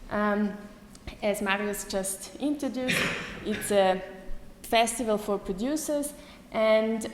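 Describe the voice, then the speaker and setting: A woman in her thirties with a German accent, giving a live lecture to an audience, pausing often with many ums and restarts. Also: a little high, a little mellow. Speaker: artist giving a talk. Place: lecture hall. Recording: echoey hall with faint room noise.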